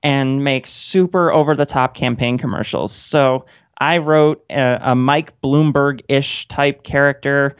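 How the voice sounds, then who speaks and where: A woman's voice: very deep voice, measured pace, clean tone, dry recording. A woman in her thirties chatting casually and wryly as a guest in a radio interview. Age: 30s. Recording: dull, band-limited audio.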